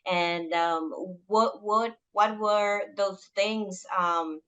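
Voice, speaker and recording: A woman from the Republic, interviewing a guest and pausing often on "um". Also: mid-range voice, fairly even intonation, fairly bright tone. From Dominican Republic. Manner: hesitant, many "um" pauses. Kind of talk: interview questions.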